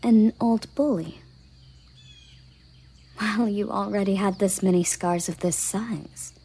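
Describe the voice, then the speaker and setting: A woman playing a breathy, slow-speaking elven guard captain. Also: mid-range voice. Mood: curious, measured. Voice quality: soft, breathy, often half-whispered. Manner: formal, deliberate, imperious phrasing. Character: commanding, curious elven guard captain woman, formal and proud. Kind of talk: scripted roleplay audio drama.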